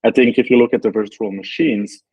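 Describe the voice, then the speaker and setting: Husky-voiced Belgian-raised founder, thoughtful. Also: male voice, lowish voice, fairly even intonation, brisk, no room sound, dull audio. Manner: thoughtful, many ums and likes. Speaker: startup founder. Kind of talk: interview answers.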